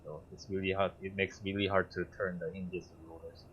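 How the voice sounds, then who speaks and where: A man with a very low voice whose first language is Korean talks through a game as he streams it. He pauses often and fills the gaps with fillers while he works things out. The home mic sounds slightly dull, with a faint hiss behind him. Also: little pitch movement. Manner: halting, thinking aloud with many fillers. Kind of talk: casual gameplay commentary.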